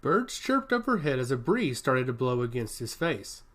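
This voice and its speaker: A man's voice: medium pitch, big pitch swings, moderate pace. A man reading a scripted fantasy story aloud as its narrator. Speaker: audiobook narrator.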